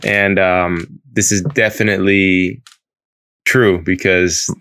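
A man's voice: deep voice, little pitch movement, slow, fairly bright tone, clean tone. A young man co-hosting a podcast from home over a video call, teasing and joking with his co-host. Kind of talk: casual chat.

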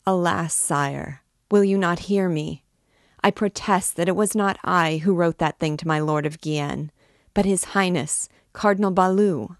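A man's voice: high voice, fairly even intonation, unhurried, dry recording, clean studio sound, voice pushed up. A woman voicing a desperate male prisoner, begging the king for mercy in tearful pleas. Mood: pleading.

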